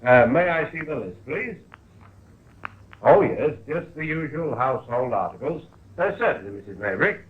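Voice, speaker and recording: Lilting, obliging shopkeeper, played by an actor. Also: male voice, medium pitch, big pitch swings, normal speed, natural voice. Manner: courteous, deferential, fussy hesitations. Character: polite, obliging male Victorian chemist shopkeeper, eager to please. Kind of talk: 1950s radio drama acting. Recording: thin, phone-like old radio recording.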